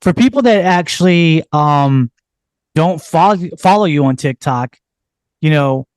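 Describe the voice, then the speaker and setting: Podcast host with halting questions. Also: male voice, on the high side, lively intonation, dry recording. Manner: brief affirming replies, halting questions. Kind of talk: casual interview.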